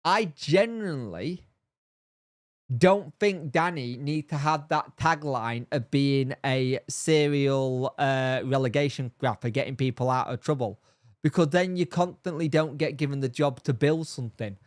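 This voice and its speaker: A man's voice: mid-range voice, measured pace, dry recording, clean studio sound. A man with a blocked, nasal and throaty voice, rambling casually through a live stream and answering chat by name. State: congested, bunged up. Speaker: fan channel host reading live chat.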